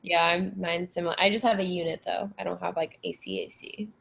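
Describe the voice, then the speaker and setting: A woman's voice: on the low side, steady tone, fairly quick, vocal fry, a bit bright, breathy edge, poor audio. A woman chatting casually, blunt and free with swearing. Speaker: podcast cast member. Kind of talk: casual chat.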